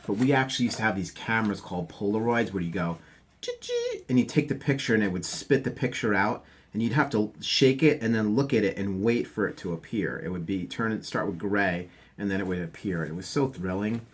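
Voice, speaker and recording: A man's voice: on the low side, fairly quick, hardly any pauses, slightly rough. A male teacher reading a picture book aloud to children, stopping for chatty asides and sometimes dropping to a whisper.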